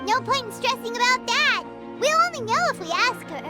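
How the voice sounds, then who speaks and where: Tiny fairy sidekick, very high squeaky voice, bouncy intonation, blunt teasing, game music behind. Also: female voice, animated, moderate pace. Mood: confident. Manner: speaks of herself in third person, blunt teasing. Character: small floating fairy companion, chatty, cheeky. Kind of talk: studio video game voice acting.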